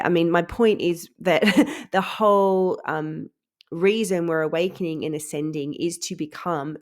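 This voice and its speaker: Low-voiced nasal woman with a head cold. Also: vocal fry. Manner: chatty and earnest, many ums and laughs. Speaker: podcast host. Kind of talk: casual spiritual podcast talk.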